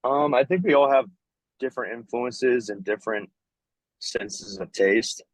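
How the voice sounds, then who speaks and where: A man who plays in a band, answering an interview in a relaxed, casual way with lots of "like" and "you know". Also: mid-range voice, unhurried, no room sound, poor audio. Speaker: band member guest. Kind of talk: casual chat.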